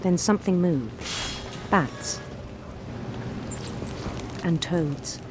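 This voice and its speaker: A woman playing a formal psychiatrist who narrates a condolence letter in a measured, deliberate way for a game. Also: fairly low pitch, animated, heavy background noise. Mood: measured, sympathetic. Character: measured psychiatrist writing to a grieving mother. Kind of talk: scripted game narration, letter read aloud. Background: music.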